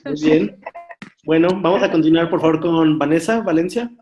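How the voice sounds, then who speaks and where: A man leading an online workshop on a video call, briskly calling on each participant in turn. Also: fairly high pitch, darkish tone, muffled recording, faint music behind. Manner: brisk, calls on participants one by one. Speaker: workshop instructor.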